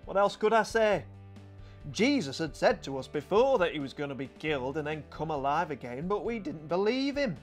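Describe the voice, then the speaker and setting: Bloke doing a blunt stubborn little doubter guy for a kids audiobook, voice on the high side, music under it. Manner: colloquial, blunt, emphatic. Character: Thomas, small stern male sceptic, stubborn storyteller. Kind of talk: children's audiobook character reading. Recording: music behind the voice.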